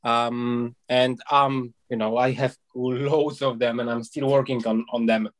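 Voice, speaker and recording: A man speaks as a guest on a reflective panel. His voice sits at a middle pitch, and he talks in a thoughtful, hesitant way, stopping often to search for the right words. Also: flat delivery, a little slow. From Poland. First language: Polish. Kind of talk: reflective discussion.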